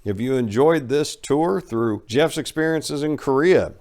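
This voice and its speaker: A man narrating a first-person memoir as a dramatic reading, with an expressive storytelling delivery and emphatic swings. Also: medium pitch, a little slow. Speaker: audiobook narrator.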